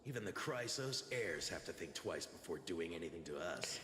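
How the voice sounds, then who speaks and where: A man's voice: deep voice, animated, moderate pace, bright, forceful tone, slight background noise. A man playing a stern, self-important city guard in a video game, barking threats on official duty. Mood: arrogant. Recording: echoey game audio with music behind.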